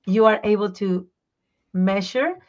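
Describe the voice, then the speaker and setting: Brief: woman voice teacher, animated, searches for English words, mid pitch, muffled line. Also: unhurried. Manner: animated, explains with examples and laughs. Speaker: voice teacher and singer, podcast guest. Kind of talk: casual interview talk.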